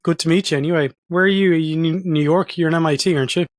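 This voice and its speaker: Male podcast host, slightly higher-pitched voice, playful and easygoing. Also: steady tone, fast, dry recording, studio quality. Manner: jokey, playful asides. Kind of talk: scripted intro then casual interview chat.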